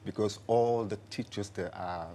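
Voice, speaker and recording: A middle-aged man from Senegal, a dance teacher, talking haltingly in a TV studio interview. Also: fairly low pitch, fairly even intonation, slight background noise. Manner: warm, halting, with many fillers and restarts.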